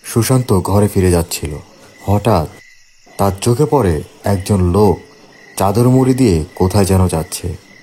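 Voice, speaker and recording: Guy reading a ghost story, lowish voice, measured w dramatic pauses, crickets n birds behind. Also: lively intonation, warm tone, slight background noise. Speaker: narrator. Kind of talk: scripted horror story narration.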